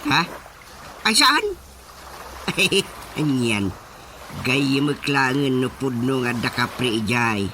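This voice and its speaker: An actor playing a stubborn, excitable middle-aged village chairman and father in an Ilocano radio comedy, with a Filipino accent and exclamatory, drawn-out reactions. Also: mid-range voice, background noise. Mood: wistful, musing.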